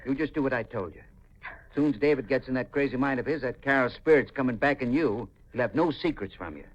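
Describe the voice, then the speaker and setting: Man acting a husky, scheming rural American uncle. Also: mid-range voice, quick pace, natural voice. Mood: scheming, insistent. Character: scheming, greedy rural uncle, brusque and dismissive. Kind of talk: 1950s radio mystery acting. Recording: dull, lo-fi 1950s radio recording.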